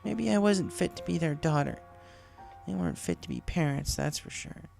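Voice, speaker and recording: A man voicing a hurt, defiant young female pegasus pony in a storybook reading, gravelly and bitterly confiding. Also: on the high side, wildly swooping pitch, normal speed, a little mellow, faint music behind, pitched above the performer's own voice, full character voice. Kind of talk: acted storybook dialogue.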